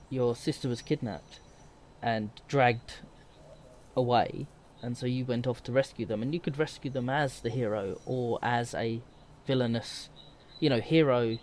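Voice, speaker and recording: A man's voice: medium pitch, darkish tone, a little hiss or hum. A man co-hosting a gaming podcast in casual conversation, rambling and self-deprecating. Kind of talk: casual chat.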